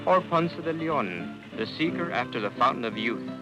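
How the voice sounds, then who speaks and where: A man giving measured, solemn scripted narration for a documentary. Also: medium pitch, expressive, poor audio. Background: guitar music.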